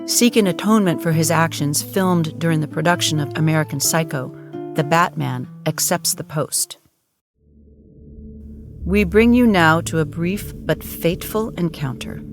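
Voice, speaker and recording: A woman narrator giving a solemn, measured, dramatic documentary-style narration for an audio drama. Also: deep voice. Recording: clear music behind.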